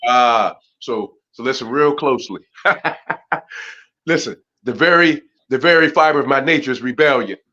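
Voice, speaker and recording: A man with a deep voice who talks emphatically and preachily as an interview guest, often tagging his points with "right?". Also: unhurried, sharp tone, dull audio. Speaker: guest, boxer and community mentor. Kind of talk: casual interview talk.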